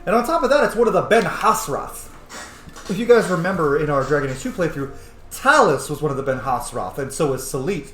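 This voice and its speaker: Animated, higher-pitched man gaming. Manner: chatty, informal, sweary. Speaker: gaming video host. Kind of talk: casual roleplay playthrough commentary. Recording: roomy sound with faint noise.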